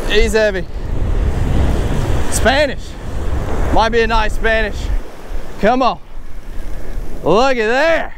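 A high-voiced man with a drawl narrating his fishing out on an open beach. He talks casually and gets excitable, whooping when a fish hits. Waves crash clearly behind him on an outdoor camera mic. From Alabama. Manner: excitable, bursts into whoops and exclamations. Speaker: fishing vlogger. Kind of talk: casual on-the-spot fishing commentary. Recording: outdoor beach recording with clear surf noise. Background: surf and waves.

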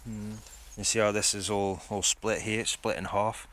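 A man's voice: on the low side, level tone, moderate pace, fairly bright tone. A man, chatting casually and thinking aloud as he explores outdoors, recorded on a phone held at arm's length. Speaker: vlogger. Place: outdoors in a forest.

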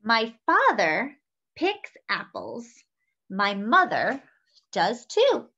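A young woman reading a picture book aloud to children with animated, expressive delivery. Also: on the high side, drawn-out words. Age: young adult. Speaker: children's story-time reader. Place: small room indoors at home. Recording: slightly dull webcam audio.

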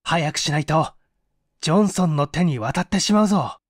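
A hot-headed, quick-reasoning sleuth in a mystery audiobook, played by a man. His voice is high and very lively, pushing each deduction forcefully. The sound is dry and close. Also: no room sound. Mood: brisk, commanding. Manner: forceful, emphatic, quick to conclude. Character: Asakichi, hot-headed, sharp-reasoning man playing detective.

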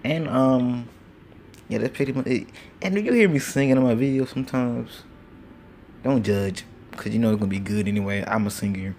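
Rambling young man with gravelly voice. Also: medium pitch, moderate pace, a little mellow, slight background noise. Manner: rambling, laid-back, lots of fillers. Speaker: gaming channel host. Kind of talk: casual gameplay commentary. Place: home with train passing outside. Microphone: phone screen recorder.

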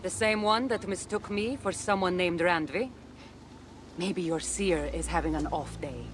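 An actress playing a confident, secretive ancient Greek warrior woman in a video game, with a Greek accent and a measured, teasing manner. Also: lowish voice, background noise, lightly acted. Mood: wry, thoughtful. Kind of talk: video game cutscene acting. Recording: game audio with music behind. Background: game soundtrack music.

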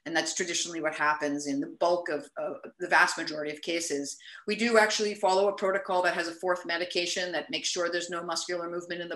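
A woman speaking as a medical provider on a panel, explaining a procedure in a measured, reassuring way. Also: on the low side, fairly bright tone. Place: indoor room on a video call. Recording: roomy, echoing video call.

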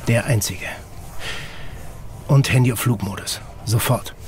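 An actor playing a secretive, protective middle-aged police agent in an audio drama, terse, hushed and insistent. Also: male voice, medium pitch, measured pace, natural voice. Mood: grave, terse. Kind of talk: German audio drama acting. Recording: clear background noise.

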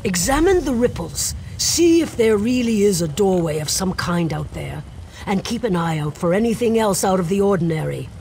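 An actress playing a grave, worried mage investigator in a video game, serious and measured. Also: female voice, medium pitch, lively intonation, vocal fry, darkish tone, slight background noise. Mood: wary, resolute.

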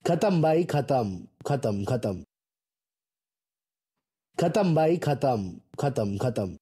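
A man with an Indian accent and a husky voice, performing short game callouts and catchphrases. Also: mid-range voice, sing-song, slow, mellow. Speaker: game voice-pack voice.

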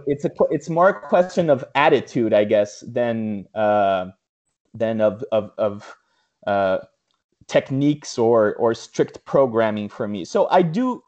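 A man's voice: mid-range voice, darkish tone. A young man on a video call talking with many fillers and restarts, recorded indoors through earphones. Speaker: interviewer. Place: small room indoors. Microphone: earphone headset on video call. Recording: dull lo-fi video call audio.

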